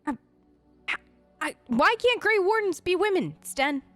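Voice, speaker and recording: A woman hosts a role-play let's play in a high voice. She chats informally and playfully, answering game characters as her own character. The sound is close and dry, with faint music underneath. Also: sing-song, measured pace, a bit bright, dry recording. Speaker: gaming host.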